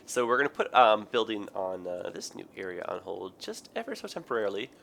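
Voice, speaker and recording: A man with a raspy, hoarse, tired voice, rambling through casual game commentary with many ums and self-corrections. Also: fairly low pitch, big pitch swings, brisk, a bit bright, slight background noise. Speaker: gaming video host. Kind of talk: casual let's play commentary.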